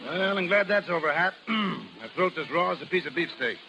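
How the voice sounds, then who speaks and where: An actor playing a blunt, slangy, middle-aged American sideshow boss in 1930s radio style. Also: male voice, a little high, lively intonation, moderate pace, creaky. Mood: weary, brisk. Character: gruff hard-boiled sideshow boss, devoted father of grown son. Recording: noisy old 1930s radio recording.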